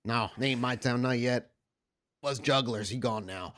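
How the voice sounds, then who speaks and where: A man's voice: mid-range voice, normal speed, fairly bright tone, lightly acted. A man playing a defiant, foul-mouthed anarchist vampire captive who talks like a street tough, in a tabletop game. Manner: profane, snarling street-tough talk.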